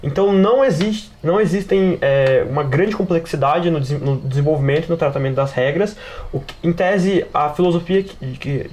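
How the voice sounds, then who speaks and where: A man's voice: fairly high pitch, a little mellow. A man with a Brazilian accent hosting a casual podcast about tabletop games, explaining at length with slangy asides. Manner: wordy, explanatory, peppers talk with slang and tags. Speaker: podcast host and game master.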